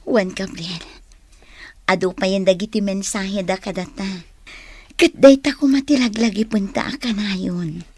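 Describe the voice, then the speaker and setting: A gentle, devoted young wife in an Ilocano radio drama. Her voice is mid-pitched and breathy, and she speaks as someone dutiful and loving. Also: unhurried, no echo. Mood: tender.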